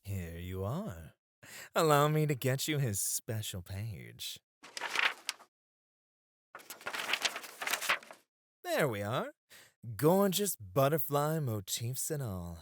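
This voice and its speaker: Man acting a flirty, theatrical bunny-club host, very animated. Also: mid-range voice, slow pace, no room sound, studio quality. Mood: attentive. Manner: theatrical, coy, effusive. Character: teasing, dramatic, flirty bunny-club host Roy, male. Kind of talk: flirty ASMR roleplay acting.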